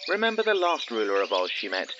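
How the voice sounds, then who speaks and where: Protective servant guy, wary, respectful, lively pitch, loud-ish background noise. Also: fast. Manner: deferential, cautioning. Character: wary, protective male retainer to a princess. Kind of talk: full-cast audio drama acting. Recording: dull, noisy, birds and ambience behind.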